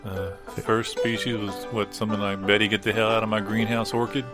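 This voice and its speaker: A man playing a mild, musing small-town American in improvised comedy, deadpan, hesitant and agreeable. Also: lowish voice, fairly quick, lightly acted. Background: banjo or guitar music.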